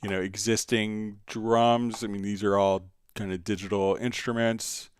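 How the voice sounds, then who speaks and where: A man hosting a casual live software tutorial, explaining step by step in a conversational way as he answers viewers. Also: on the low side, little pitch movement, unhurried, slight background noise. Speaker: livestream host and software instructor.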